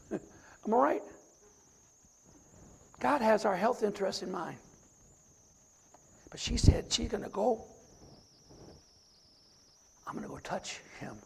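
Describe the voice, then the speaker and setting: A middle-aged man with a raspy voice, a deacon preaching a sermon and reciting scripture from memory. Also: extremely high pitch, a little mellow.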